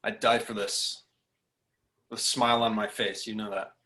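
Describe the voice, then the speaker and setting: A man's voice: on the low side, flat delivery, normal speed, bright, forceful tone. A man playing a devoted grown son, eager to serve his father, in a scripted drama read.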